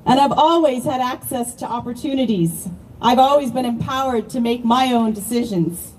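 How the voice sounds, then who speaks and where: A woman with a high voice and a non-native accent delivers a prepared, declamatory speech at a rally. The voice sits back from the microphone, with a faint hum of outdoor noise behind it. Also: moderate pace, a little mellow, distant mic, rough recording. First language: not born in Ireland, long resident. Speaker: rally speaker.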